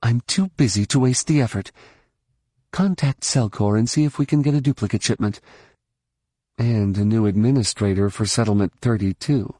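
A man's voice: fairly low pitch, moderate pace, dark tone, no echo, lightly acted. A worn-out woman in charge, short on patience and clipped in her demands, as a male narrator puts her on. The voice stays close to the reader's own plain tone. Dry, close recording. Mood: impatient. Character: weary woman leader, short-tempered but fair.

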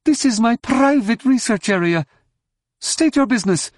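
A man performs a proud alien scientist for a science fiction audiobook. The voice is pitched high, stern and formal, with curt delivery in a dry studio sound. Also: little pitch movement, normal speed, a little mellow, dry recording, lightly acted.

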